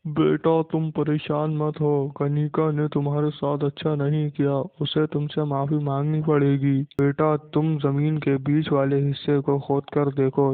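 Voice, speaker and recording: A man voicing a kindly late uncle who appears as a dream spirit in a cartoon moral tale, reassuring and advising. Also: on the high side. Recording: muffled, narrow phone-like sound.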